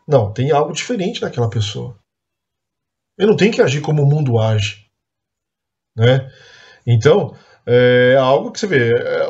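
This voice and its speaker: Man teaching a church bible class, earnest and chatty, dull lo-fi recording, medium pitch. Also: a little mellow. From Brazil. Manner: conversational, frequent tag questions and fillers; earnest, admonishing. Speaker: Sunday school teacher.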